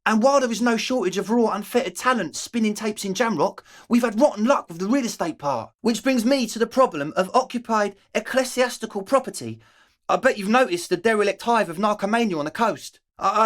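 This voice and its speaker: A man's voice: high voice, expressive, fairly quick, a bit bright, no echo, studio quality. A man voicing an eager, flaky young male slacker ringleader in a video game, talking in an earnest, ingratiating, hedging way. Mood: grandiose, apologetic. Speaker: voice actor. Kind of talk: video game character dialogue.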